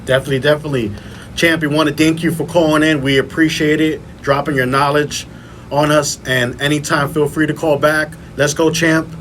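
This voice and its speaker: A man's voice: on the high side, flat delivery, fairly quick, fairly bright tone, slight background noise. A man hosting a podcast, chatting casually as he runs through fight lineups and picks. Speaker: podcast host. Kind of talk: casual boxing podcast talk.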